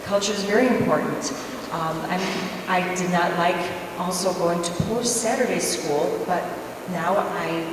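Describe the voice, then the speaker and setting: A woman dentist on a conference panel, speaking in a measured, hesitant way with fillers. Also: fairly low pitch, far from the mic. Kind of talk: panel discussion answer. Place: large conference hall. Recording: echoey hall, mic from afar, noisy.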